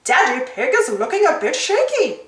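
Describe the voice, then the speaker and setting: A woman's voice: high voice, very animated, normal speed, fairly bright tone, roomy sound, recorded from a distance. A woman voicing a hearty, mocking male bull calling out as a showman in a storybook.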